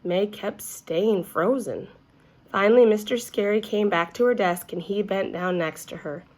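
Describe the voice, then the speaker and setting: A woman's voice: medium pitch, a little slow, a little mellow. A woman reading a children's story aloud expressively, as if to young listeners. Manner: addresses young listeners with questions.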